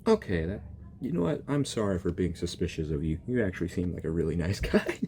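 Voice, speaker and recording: Young male gaming YouTuber, deep voice, joky and excitable, lively swings. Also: fast talker, a little hiss or hum. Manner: joking, excitable, talks back to the characters. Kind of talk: casual game reaction commentary.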